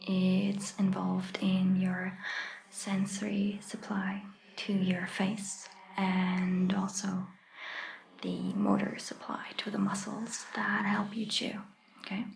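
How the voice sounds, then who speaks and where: Woman playing a very slow, whispering vampire doctor. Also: medium pitch, little pitch movement, echoey room, a little hiss or hum. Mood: gentle, soothing. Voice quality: breathy, whispered. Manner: slow, soothing, reassuring, instructing. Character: gentle, attentive female vampire doctor examining a patient. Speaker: ASMR performer. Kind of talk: soft-spoken ASMR roleplay.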